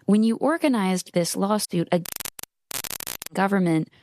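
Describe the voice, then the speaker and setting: A woman's voice: medium pitch, expressive, unhurried, darkish tone, slight background noise. A woman hosting an interview programme, reading scripted introductions and putting questions to a guest. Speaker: radio host and interviewer.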